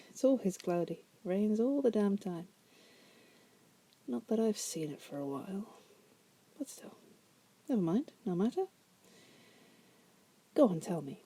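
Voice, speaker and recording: Wry female demon captive, acted by a woman, very mellow and husky, whisper-soft ASMR delivery, teasing deal-maker. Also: medium pitch, exaggerated voice. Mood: wry, musing. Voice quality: breathy, often whispered. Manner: teasing, musing, drawling asides with soft chuckles. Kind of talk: ASMR roleplay.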